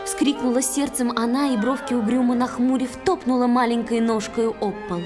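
A woman's voice: a little high, steady tone, moderate pace. A woman narrating a verse fairy tale as a dramatic storyteller in an audio play. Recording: music under the voice.

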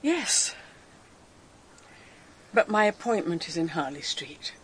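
An actress playing a proud, dutiful older British great-aunt with a brisk, no-nonsense manner, in a radio drama. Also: female voice, fairly low pitch, sing-song, fairly bright tone, slight background noise, heavily acted. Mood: grave, resolute. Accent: British English.

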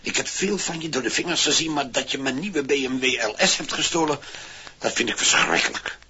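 An actor playing a pompous, easily outraged town mayor with a declamatory delivery in a 1960s radio play. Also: male voice, medium pitch, brisk, bright, forceful tone. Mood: wounded disappointment. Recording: muffled, noisy 1960s vinyl single recording.

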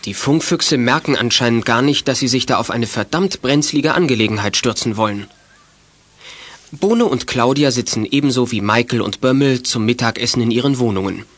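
A man's voice: mid-range voice, moderate pace, fairly bright tone, dry recording. A man narrating a children's audio drama as scripted storytelling.

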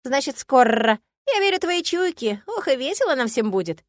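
A woman's voice: high voice, very animated, moderate pace, no room sound, studio quality, voice pushed up. A woman voicing a blunt, nosy male raven who scolds and teases.

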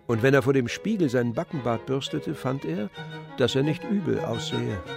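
A man narrating a literary radio play in a measured, composed manner. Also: medium pitch, animated, vocal fry. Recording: dry studio with faint music.

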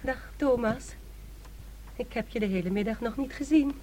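Coy young female cousin, played by a woman, high-pitched radio drama voice with faint background noise. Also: moderate pace, dark tone, natural voice. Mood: coy, curious. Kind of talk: radio play acting.

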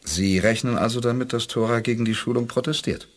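A man's voice: mid-range voice, fairly quick, close to an everyday voice. An actor playing a sober, sceptical spaceman hero in a 1980s German sci-fi radio play, thoughtful and questioning. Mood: moved, doubtful.

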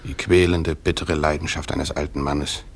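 An actor playing a brooding, lovesick former tutor, narrating a radio drama in a reflective, halting, self-questioning way. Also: male voice, a bass voice, fairly even intonation.

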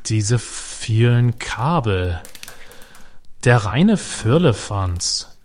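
A man's voice: mid-range voice, sing-song, very slow, roomy sound, slight background noise. A man playing a grumbling, secretive tinkerer with listening equipment in a radio drama, muttering old-fashioned complaints and dodging questions. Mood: grumbling, irritated.

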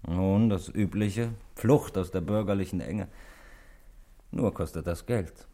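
An actor playing a calm, weary man confessing to murder in a 1960s German radio drama, measured and wryly resigned. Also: low-pitched.

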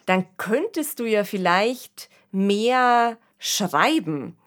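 A woman's voice: mid-range voice, animated, very slow. A woman co-hosting a podcast, talking casually and analytically, often adding little tag questions. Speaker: podcast co-host. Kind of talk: casual discussion.

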